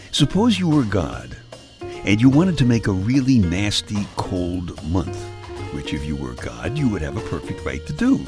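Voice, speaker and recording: Brooklyn guy doing a dry comic monologue, low voice, swoops up and down a lot, faint music behind. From Brooklyn, New York. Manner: wry deadpan storytelling with chuckles. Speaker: radio humor host.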